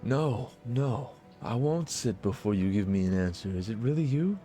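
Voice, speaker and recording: A man's voice: mid-range voice, expressive, normal speed, dark tone, faint music behind, natural voice. A man reading the lines of a bewildered, wary husband in a visual novel, solemn and questioning. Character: bewildered, wary husband confronting his lost wife. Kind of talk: visual novel dialogue read aloud.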